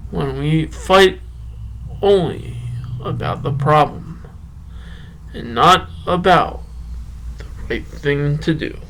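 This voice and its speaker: A man talking and reading poetry solo as a podcast host, halting, with drawn-out phrases and long pauses. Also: a little high, animated, a bit bright, slight background noise, low-quality recording. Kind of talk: rambling solo talk and poem reading.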